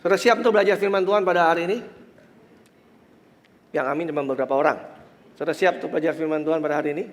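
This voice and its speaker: Nasal-voiced Indonesian pastor in a reverberant church. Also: male voice, a little high, rapid-fire, long pauses. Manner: mixes English phrases into speech, rises to emphatic preaching; soft murmured prayer. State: congested, nasal voice. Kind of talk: church sermon with communion and prayer. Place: large church hall.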